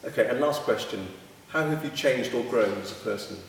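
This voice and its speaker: A man with a monotone voice asking and answering interview questions in plain, easy speech. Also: mid-range voice, a little fast, vocal fry, background noise. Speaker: club member. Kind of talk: casual interview. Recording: echoey hall from far off.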